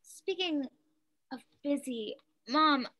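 A woman's voice: sits high, takes it slowly, pushed and bright, heavily acted. A young woman reading the part of a plucky, sardonic daughter in a play over a video call. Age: young adult. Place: room at home. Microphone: computer mic on video call.

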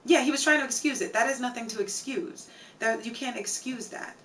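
A young woman with a hoarse, tired voice, chatting casually with her viewers on a home live stream and filling her talk with "like" and "um". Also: a little high, brisk, fairly bright tone, distant mic, a little hiss or hum. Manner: chatty, reads out chat names, many fillers. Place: home streaming room with shelves.

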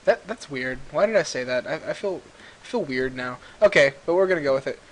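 A man giving a casual, rambling software tutorial, recorded on a computer microphone a little away from him. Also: on the high side, animated, a little fast. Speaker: tutorial host. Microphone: computer mic a little far away. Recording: clearly hissy amateur computer mic recording.

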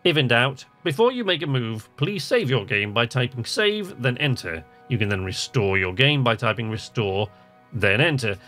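A man's voice: medium pitch, expressive, normal speed, fairly bright tone, soft background music. A man giving casual let's-play commentary, chatty and hesitant as he thinks aloud and reads game text. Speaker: host of a video game channel.

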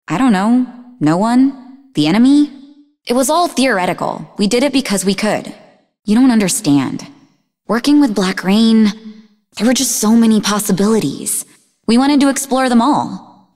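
An actress playing a sarcastic, sharp-tongued young woman scientist in a video game, with a mocking, snappy manner. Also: mid-range voice, natural voice. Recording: long reverberant hall echo.

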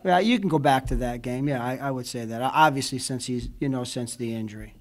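Brief: middle-aged male coach, postgame presser, rambly and heartfelt, fills every pause with "you know", table mic. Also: medium pitch. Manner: rambling, emotional, many fillers like "you know what I mean". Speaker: college basketball head coach. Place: indoor press room before a logo backdrop. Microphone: table mic in front of him.

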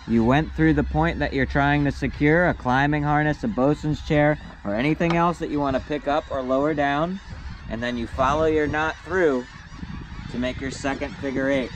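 A young man, a sailing instructor, calmly walking through tying a knot step by step, recorded outdoors on a boat deck. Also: medium pitch, slight background noise, poor audio. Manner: patient, step-by-step, plain-spoken. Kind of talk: hands-on knot-tying demonstration.

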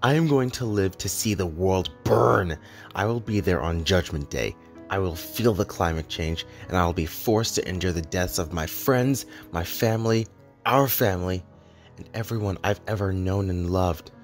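A brooding, lovesick immortal man from a fantasy cartoon, played by a male actor. His voice is deep and his delivery earnest and melodramatic. Light music sits underneath. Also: moderate pace. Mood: anguished, pleading. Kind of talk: dramatic animated fantasy dialogue.

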